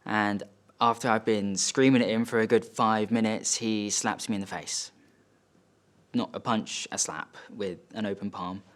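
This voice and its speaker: A man acting a stage monologue as a wealthy, bitter son, telling of family conflict in short, halting, emphatic phrases. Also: on the low side, steady tone, fairly bright tone. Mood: wounded, indignant. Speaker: actor performing a monologue. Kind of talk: dramatic stage monologue, first-person storytelling. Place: studio.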